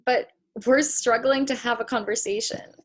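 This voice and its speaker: A woman's voice: fairly high pitch, steady tone, moderate pace, close to an everyday voice. A woman playing a guarded, candid young woman on an awkward date in a play table read, speaking frankly and with measure. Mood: probing, encouraging.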